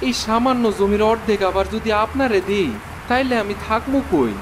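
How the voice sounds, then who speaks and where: Man acting high-voiced poor villager with rural Bangladeshi accent. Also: fairly quick, background noise, natural voice. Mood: pleading. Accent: rural Bangladeshi Bengali. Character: poor, weary, pleading village husband. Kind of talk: cartoon dialogue acting.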